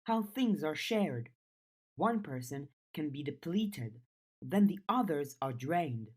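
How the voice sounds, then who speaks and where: A woman's voice: low voice, animated, slow, darkish tone, slightly rough, heavily acted. A woman voicing a blunt, restless teenage girl with a wry streak in an audiobook. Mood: musing. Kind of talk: audiobook character dialogue.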